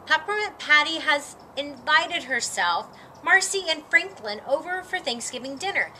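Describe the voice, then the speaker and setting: A middle-aged woman reading a children's picture book aloud with animated expression, acting out the dialogue. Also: high voice, moderate pace, a little hiss or hum. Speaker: storytime reader. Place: outdoor patio with lattice and ivy.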